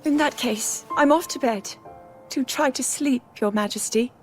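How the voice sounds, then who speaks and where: A devoted young video game princess with a high voice. She speaks sincerely and formally, with respectful, dutiful determination. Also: unhurried, soft background music. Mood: subdued. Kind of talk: dramatic video game voice acting.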